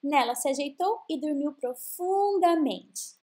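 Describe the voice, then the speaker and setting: High-pitched Brazilian woman, animated storyteller. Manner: expressive, drawn-out emphasis. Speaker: children's storyteller. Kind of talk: scripted fairy-tale narration for children.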